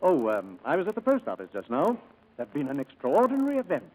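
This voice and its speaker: An actor playing a genial, fussy village vicar in 1940s radio style, courteous and a little hesitant, with a husky voice. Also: male voice, fairly high pitch, huge pitch swings, fast, darkish tone, slight background noise, low-quality recording.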